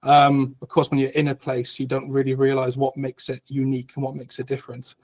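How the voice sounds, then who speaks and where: Male academic, thirties, mid pitch, chatty, hesitant and explanatory. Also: monotone, fairly quick, darkish tone. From Cardiff, Wales. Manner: frequent ums, patient and explanatory. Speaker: guest academic, lecturer. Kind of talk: conversational explanation.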